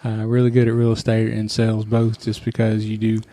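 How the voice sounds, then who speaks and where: A man hosting a podcast, talking in a relaxed, encouraging way. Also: on the low side, a little fast. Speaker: podcast host. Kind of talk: casual interview talk.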